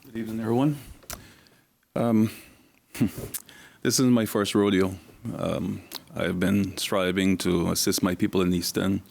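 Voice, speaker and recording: A man with a hoarse, gravelly voice that sits low for a man. He speaks bluntly and plainly as a candidate at a public forum, circling back over his points. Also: fairly even intonation, a little slow, a little mellow. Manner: blunt, plain-spoken, repeats himself. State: hoarse from flu. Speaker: election candidate. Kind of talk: campaign speech at a public forum.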